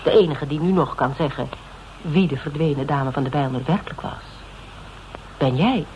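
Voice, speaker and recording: An actor playing a level-headed detective in a Dutch radio drama, questioning methodically in clipped phrases. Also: male voice, fairly high pitch, normal speed, darkish tone, natural voice. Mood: probing, firm. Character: probing, level-headed detective piecing a case together. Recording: noisy old radio drama recording.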